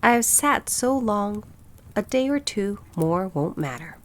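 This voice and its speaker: A woman's voice: mid-range voice, animated, a little slow, close to an everyday voice. A woman voicing a fond, proud and bossy mother duck in a storybook, with a fussy, instructive manner. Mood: fond, chatty. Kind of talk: storybook character voice.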